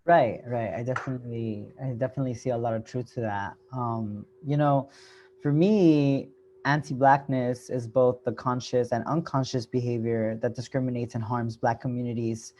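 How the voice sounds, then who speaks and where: A woman's voice: very deep voice, fairly even intonation, normal speed, dark tone, a little hiss or hum. Emphatic woman panelist, "right?" tags. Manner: earnest, emphatic, tag "right?". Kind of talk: panel discussion.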